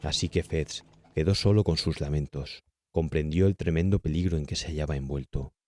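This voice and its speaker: A man's voice: rumbling low, moderate pace, a little mellow, dry recording. A man with a Castilian Spanish accent reading a horror audiobook as a dramatic narrator.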